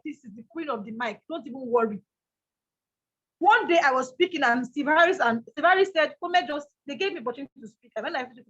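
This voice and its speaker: A woman with a Nigerian accent and a loud, strong voice, giving an emphatic motivational talk and repeating her key lines. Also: high voice, dull audio. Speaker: motivational speaker.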